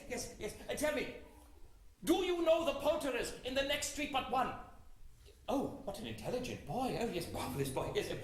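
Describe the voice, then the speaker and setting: A man's voice: very high voice, a bit bright, a little hiss or hum, pitched above the performer's own voice, heavily acted. A man voicing an old miser who has suddenly turned giddy and kind, stammering and laughing between his words. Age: older. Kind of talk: storytelling with voiced character. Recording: very echoey room.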